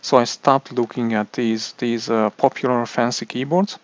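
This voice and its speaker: A man with a Hungarian accent, a native Hungarian speaker, explaining a product in a halting way with many restarts. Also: mid-range voice, steady tone, muffled recording. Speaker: tech reviewer. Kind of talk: casual explainer monologue.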